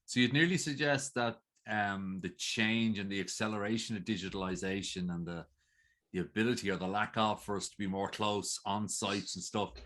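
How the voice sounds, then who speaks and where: Low-voiced Irish host, chatty. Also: male voice, fairly bright tone. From Ireland. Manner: rambling questions, chatty. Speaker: interview host. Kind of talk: conversational interview hosting. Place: video call.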